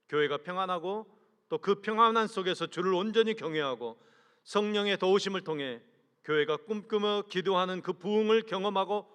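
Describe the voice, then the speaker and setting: A man, a senior pastor, preaching a sermon earnestly, asking the congregation rhetorical questions and letting sentence ends fall away low. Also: fairly bright tone, smooth. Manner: measured delivery, trails off low at sentence ends. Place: large church sanctuary. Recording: long reverb from a church hall.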